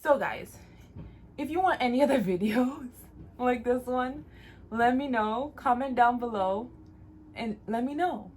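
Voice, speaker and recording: A woman's voice: fairly high pitch, unhurried, a little mellow, a little hiss or hum. A woman in her late twenties, originally from Jamaica, giving casual, encouraging advice in a vlog and repeating phrases for emphasis. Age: late 20s. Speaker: vlogger.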